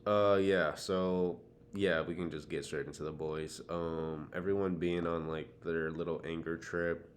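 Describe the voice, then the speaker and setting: Low-voiced, slangy young man. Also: level tone, measured pace. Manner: slangy, profane, informal. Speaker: podcast co-host. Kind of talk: casual TV and anime chat. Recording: faint background noise.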